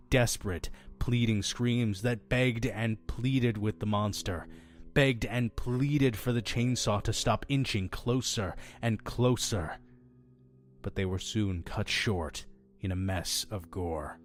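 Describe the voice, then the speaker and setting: A man playing a fussy, sardonic innkeeper who tells dark horror tales in a theatrical, deliberate way for an audio drama. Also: on the low side. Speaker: storytelling host of a horror anthology.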